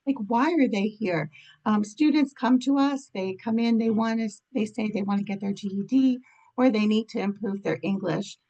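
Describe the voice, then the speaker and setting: A woman's voice: mid-range voice, moderate pace, dark tone, muffled recording. A woman with a slight cold and scratchy voice, giving an informal explanatory presentation as a program officer.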